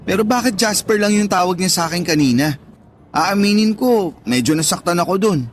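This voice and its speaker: A high-pitched young Filipino man, affectionate and needy, speaks emotionally as a devoted son and big brother. It is radio drama dialogue with faint noise behind it. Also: expressive, brisk. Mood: hurt.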